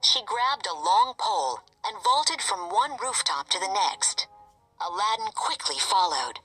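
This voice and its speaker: A woman narrating a scripted children's story in an expressive, animated way. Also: medium pitch, unhurried, breathy edge, soft background music, rough recording. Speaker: storybook reader.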